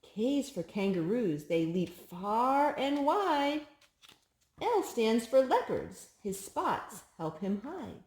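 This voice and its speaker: A woman hosting a children's storytime, reading picture books aloud in an expressive, sing-song way to a small child. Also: on the high side, slow, roomy sound.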